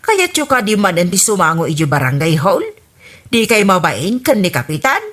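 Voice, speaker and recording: A woman's voice: lowish voice, very animated, normal speed, fairly bright tone. A woman with an Ilocano accent playing a scolding, exasperated mother in a radio comedy drama. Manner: nagging, dramatic, emphatic.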